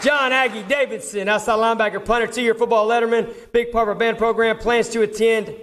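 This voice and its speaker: A high-pitched male voice announces honorees at a live award ceremony. He works through a roster informally, in the manner of a coach presenting his team. A crowd and clear background noise sit around the voice. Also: fairly even intonation, a little fast, fairly bright tone. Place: public award ceremony with audience.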